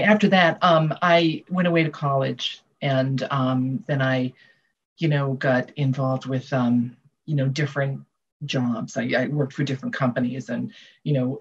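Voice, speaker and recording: An older American woman with a husky voice, answering interview questions with reflective storytelling. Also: a bass voice. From Massachusetts, United States. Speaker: guest author. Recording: dull, muffled lo-fi audio.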